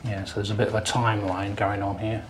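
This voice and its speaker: A man's voice: lowish voice, little pitch movement, quick pace. An older man giving casual walking commentary and reading aloud on a handheld camera. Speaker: vlogger visiting a historic site. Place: outdoors in village ruins and inside an old schoolroom. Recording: handheld outdoor recording with clear background noise.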